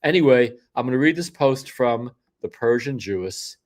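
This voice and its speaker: A man's voice: medium pitch, expressive, normal speed. An older man hosting a solo livestream, rambling through casual commentary with frequent restarts and asides, sniffly from a cold. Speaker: host.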